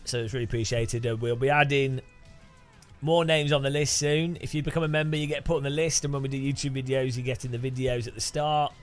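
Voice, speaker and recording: A man with an English Midlands accent, commentating a sim racing live stream with jokey, animated banter and sudden high-pitched outbursts. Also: fast, soft background music.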